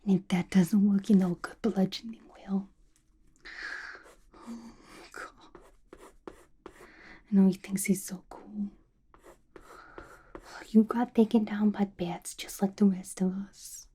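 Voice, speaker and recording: A woman playing a young, playful, violent-minded female villain in an ASMR roleplay, gossiping to the listener in a chatty, conspiratorial breathy whisper. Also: mid-range voice, steady tone, takes it slowly, pauses often. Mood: gossipy, scornful. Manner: chatty, conspiratorial, giggly, colloquial. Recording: clear background noise, soft clicks.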